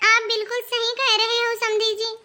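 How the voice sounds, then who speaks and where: A woman voicing an older, boastful, fault-finding mother-in-law with drawn-out delivery in a cartoon family drama. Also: extremely high pitch, completely flat delivery, pushed and bright. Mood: conceding.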